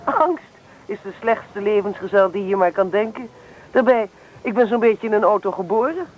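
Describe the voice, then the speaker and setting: An actress playing a headstrong, teasing young student in a radio play, blunt and self-assured in naturalistic dialogue. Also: female voice, mid-range voice, lively intonation, normal speed, lightly acted. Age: young adult. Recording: noisy old radio play recording.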